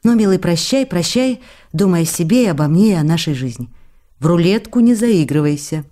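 A woman's voice: on the low side, lively intonation, a little fast, warm tone, natural voice. A woman playing an affectionate young actress, confiding in her absent lover through letters read aloud in a radio play. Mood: fond, weary. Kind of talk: radio play reading of love letters, intimate. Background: faint music.

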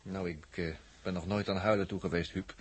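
A man acting a gruff but moved crewmate in a 1960s Dutch radio play, speaking in halting, wistful phrases. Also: low-pitched, steady tone. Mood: wistful, impressed.

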